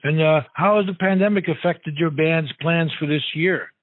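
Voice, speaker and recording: A man hosting a casual radio interview, haltingly forming his questions and repeating words as he goes. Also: on the high side, no echo. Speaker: radio show host, interviewer. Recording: narrow, dull phone-like sound.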